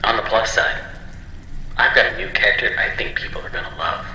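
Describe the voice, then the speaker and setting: A man's voice: fairly low pitch, little pitch movement, brisk, sharp tone. A man voicing a weary, put-upon cartoon animator in a game, musing wryly on an old cassette audio diary. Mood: hopeful. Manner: wry, reflective. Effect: old cassette recording filter. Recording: noisy old cassette, echoey reverberant hall.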